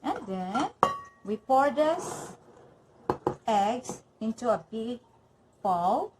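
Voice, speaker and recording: Filipino lady cooking at home, kinda high voice, chatty, okay okay, pots clanking in the kitchen. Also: big pitch swings, very slow, heavy background noise. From Philippines. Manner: chatty, walks through each step, says okay often. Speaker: cooking vlogger. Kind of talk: casual cooking demonstration.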